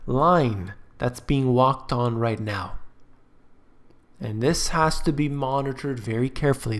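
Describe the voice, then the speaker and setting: A man giving emphatic, scornful commentary on finance charts in a screen-share voice-over. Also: on the high side. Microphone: voice-over on screen recording.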